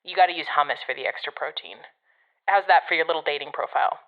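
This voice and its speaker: A woman playing a blunt, sardonic coworker in an audio drama, deadpan and sweary, heard through a radio filter. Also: sits low, fast, narrow, tinny audio. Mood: sardonic, dry. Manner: deadpan, sarcastic, casually sweary. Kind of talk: conversational audio drama acting.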